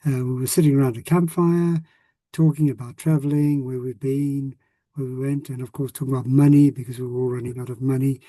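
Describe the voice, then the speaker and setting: A man in his sixties with a South African accent, a retired language teacher talking casually to former students, often pausing with ums and restarting sentences. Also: mid-range voice, little pitch movement, warm tone, no room sound. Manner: hesitant, many ums and restarts.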